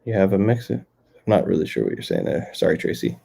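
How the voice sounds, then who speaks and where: Lowish Canadian man chatting on a live stream. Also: huge pitch swings, quick pace, gravelly, mellow. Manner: rambling, filler-heavy, many restarts. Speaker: livestream host. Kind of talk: casual livestream chat reading comments. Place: outdoors near a lake.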